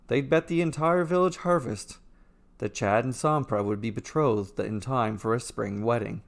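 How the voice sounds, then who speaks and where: A man's voice: mid-range voice, creaky. A man reading a scripted fairy tale as its storyteller and narrator. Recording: music playing behind the voice. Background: instrumental music.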